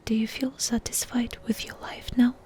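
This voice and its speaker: A woman doing a soft-spoken ASMR roleplay, asking questions in an intimate, unhurried whisper. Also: a little high, level tone.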